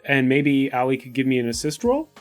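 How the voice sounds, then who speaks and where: A man's voice: mid-range voice, very animated, fairly quick, soft background music. A man chatting casually as a player in a tabletop roleplaying game, working out his arguments. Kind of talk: casual chat, in-game discussion.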